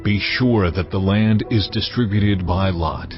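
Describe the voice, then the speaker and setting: An actor playing God as a solemn lawgiver, measured and commanding, in a dramatised scripture reading. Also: male voice, low-pitched. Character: God, solemn male lawgiver speaking to Moses. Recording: slightly muffled, music underneath. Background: background music.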